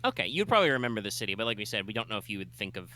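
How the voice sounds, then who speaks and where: A man's voice: on the low side, big pitch swings, rapid-fire, pushed and bright. A man running a tabletop game as game master, explaining the world's lore with many fillers and restarts.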